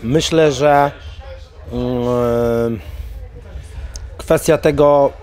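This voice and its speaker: A middle-aged man speaking at an ordinary pitch into a handheld mic in an indoor press area. He talks loosely, with many hesitations. Now and then he breaks into loud, high-pitched bursts. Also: very slow. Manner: excitable, bursts into loud high sponsor shout-outs. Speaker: MMA coach. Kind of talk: casual interview with sponsor plugs. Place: indoor press area in front of sponsor banner wall. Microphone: handheld mic held by interviewer.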